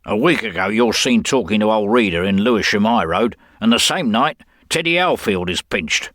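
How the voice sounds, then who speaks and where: A man's voice: lowish voice, expressive, moderate pace, a bit bright, dry recording, clean studio sound, voice pushed up. A man as a growling, suspicious gangster. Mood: accusing. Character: scowling, suspicious male gangster, a minor leader.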